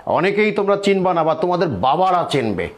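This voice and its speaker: A man's voice: high voice, big pitch swings, fairly quick. A middle-aged man with a Bangladeshi accent teaching in a classroom style, didactic, repeating phrases and asking check questions. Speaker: English grammar teacher and board examiner.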